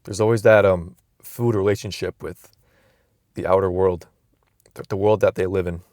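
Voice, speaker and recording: A man's voice: low voice, fairly even intonation, moderate pace, darkish tone, no room sound, studio quality. A young man vlogging from inside a car, musing aloud in a loose, rambling way with frequent restarts, recorded on a phone camera. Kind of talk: casual rambling vlog.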